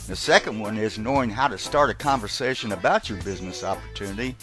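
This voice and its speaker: A man's voice: lowish voice, fast, fairly bright tone, soft background music. Friendly man introducing a webinar. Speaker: webinar host. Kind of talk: scripted introduction.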